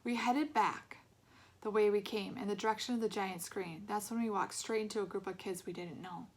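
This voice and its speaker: Woman reading a story aloud, mid-pitched. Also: steady tone, moderate pace. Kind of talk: expressive storybook narration.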